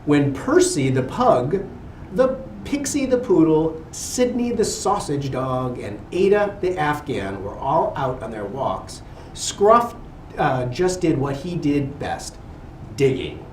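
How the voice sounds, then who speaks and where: Animated man reading aloud, roomy distant recording. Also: a little high. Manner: expressive, pausing for asides to the children. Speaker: guest reader at a school. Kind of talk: animated picture-book read-aloud to children. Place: indoor school room with children. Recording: roomy, distant mic with background noise.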